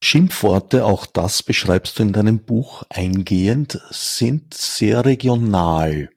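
Deep husky male interviewer. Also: animated, no echo, clean studio sound. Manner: reflective, measured questions. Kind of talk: studio interview. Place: studio.

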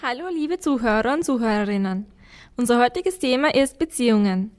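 A woman's voice: fairly high pitch, expressive, fairly quick. A young woman hosting a school radio interview, politely reading out her questions. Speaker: student radio host.

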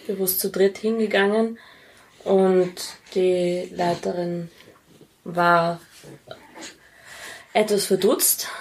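A woman with an Austrian accent giving casual, reflective interview answers. Her voice sits a little low, stays very even in pitch and has a strong creak. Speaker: interview guest.

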